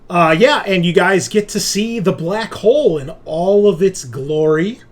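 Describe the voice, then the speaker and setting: A man working as game master, narrating a tabletop roleplaying game in a halting, conversational way. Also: high voice.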